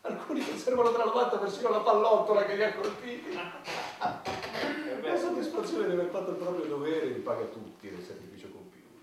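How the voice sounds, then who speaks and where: An actor playing a pompous, officious head of state in a satirical radio play, speaking emphatically and deliberately. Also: male voice, sits high, animated. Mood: bureaucratic, unruffled. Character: pompous male head of state chairing war council. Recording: very echoey room, far from mic, faint noise.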